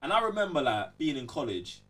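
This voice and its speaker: A man's voice: mid-range voice, big pitch swings, a little fast, pushed and bright, far from the mic. A young man with a London accent talking emphatically and in slang on a casual podcast, often checking that he's being followed. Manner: emphatic, slangy, repeats check-in tag phrases. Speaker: podcast host.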